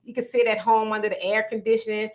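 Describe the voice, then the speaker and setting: Chatty woman livestream host, higher voice. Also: flat delivery, quick pace. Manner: chatty, frank, playful. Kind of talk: casual chat reading viewer comments.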